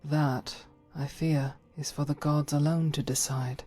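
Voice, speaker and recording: Casting: actress for grave, pious female game follower, low voice, formal and measured, faint music underneath. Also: fairly even intonation, warm tone. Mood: solemn. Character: devout, grave female warrior companion, principled and formal. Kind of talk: scripted fantasy game dialogue.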